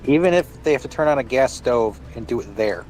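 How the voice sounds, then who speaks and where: A man's voice: mid-range voice, lively intonation, brisk, darkish tone, low-quality recording. A man chatting casually, often repeating words as he works out what to say. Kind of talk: casual chat.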